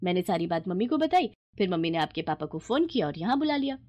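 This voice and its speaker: A woman's voice: lowish voice, sing-song, quick pace, a little mellow, highs cut off. A woman voicing a cheerful, eager and affectionate young sister-in-law in a storybook tale. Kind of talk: acted storybook dialogue.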